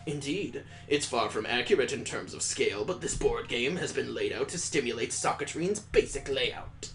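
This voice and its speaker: A man voicing a calm, formal male schoolteacher who lectures in a measured, explanatory way. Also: medium pitch, faint music behind, pitched below the performer's own voice.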